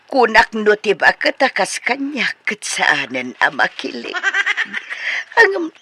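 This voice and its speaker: A woman's voice: medium pitch, wildly swooping pitch, slow pace, sharp tone, breathy edge, heavily acted. An actress playing a coy, hesitant middle-aged mother being courted, in a comedy radio drama. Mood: reluctant, coy.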